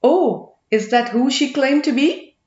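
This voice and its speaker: A sneering, needling commander who mocks and probes, a middle-aged schemer , as a woman reads him. The voice sits a little high and carries a touch of room echo on a slightly muffled recording. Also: expressive, unhurried, darkish tone. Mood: mocking. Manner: derisive, needling.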